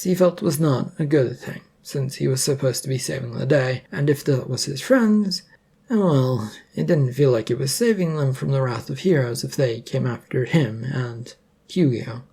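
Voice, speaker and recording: Husky, gravelly adventure story narrator. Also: medium pitch, unhurried, warm tone.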